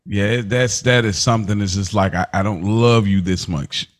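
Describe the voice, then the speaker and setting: A man co-hosting a casual podcast, rambling and animated as he acts out scenes from the show. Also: lowish voice, moderate pace. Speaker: podcast co-host. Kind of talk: casual chat.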